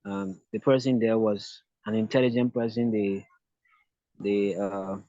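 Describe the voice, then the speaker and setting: A man's voice: lowish voice, fairly even intonation, a little slow, mellow, no echo. A man from Liberia with a Liberian accent, answering interview questions hesitantly, often repeating words and restarting. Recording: dull lo-fi dry sound.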